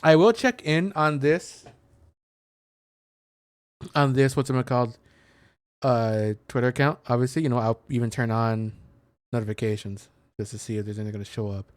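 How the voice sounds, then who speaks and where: A man's voice: mid-range voice, normal speed, long pauses, no room sound. A man streaming a game with casual, rambling commentary, thinking aloud as he searches for words and reads things out. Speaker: video game streamer and host.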